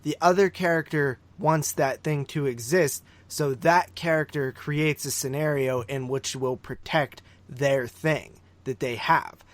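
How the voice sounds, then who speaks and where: A man's voice: on the high side, unhurried, hardly any pauses, no room sound. A man co-hosting a film review podcast, debating casually in an insistent, teasing, emphatic way. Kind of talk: casual argumentative chat.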